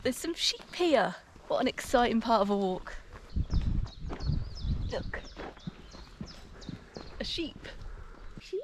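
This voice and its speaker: A woman's voice: fairly high pitch, big pitch swings, slow, pauses often, slight background noise. A playful, giggly English woman chatting on a countryside hike, recorded outdoors on a handheld camera. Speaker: vlogger. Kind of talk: casual vlog chat while hiking. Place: outdoors on a hill walk.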